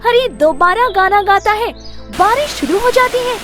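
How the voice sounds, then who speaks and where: A woman's voice: very high voice, lively intonation, moderate pace. A woman narrating a scripted children's story as its storyteller. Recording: loud music under the voice.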